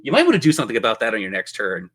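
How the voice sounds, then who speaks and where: A man's voice: on the low side, huge pitch swings, very fast, a bit bright, slightly rough. A man narrating a tabletop fantasy game as game master, with vivid, playful descriptions.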